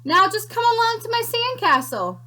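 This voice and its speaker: A woman voicing a small, bossy female sand creature in a storybook read-aloud, speaking slowly with a crackly voice. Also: very high-pitched, very animated, sharp tone, voice pushed up, exaggerated voice. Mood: smug. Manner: slow and drawn out.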